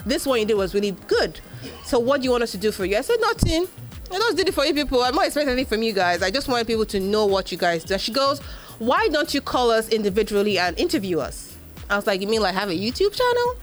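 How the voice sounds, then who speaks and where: Nigerian woman, igbo first language, chatty and animated on a panel, faint music in the back. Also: fairly high pitch, fast. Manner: animated storyteller, acts out quoted voices, frequent fillers. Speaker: podcast host being interviewed. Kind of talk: live panel interview.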